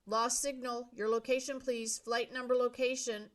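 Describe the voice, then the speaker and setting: A woman's voice: on the high side, level tone, moderate pace, voice pushed up, close to an everyday voice. A woman voicing an adult male radio operator speaking in clipped, formal radio procedure. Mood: brisk.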